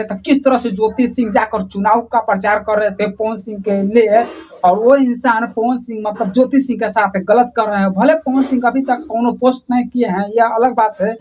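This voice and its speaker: A woman's voice: fairly high pitch, darkish tone. A woman with a Bihari accent giving informal, opinionated news commentary, talking straight to viewers in a colloquial, repetitive way. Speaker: online news presenter.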